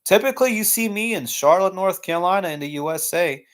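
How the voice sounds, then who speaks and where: A man's voice: high-pitched, expressive, fast talker, a bit bright. A young American man chatting casually on a live stream, answering viewer questions in a rambling, laid-back way full of fillers. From United States. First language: English.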